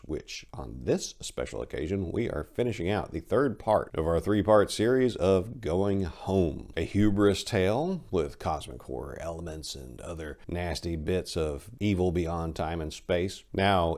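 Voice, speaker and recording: A man reading his own short story aloud as a dramatic, expressive narration of literary horror. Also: sits low.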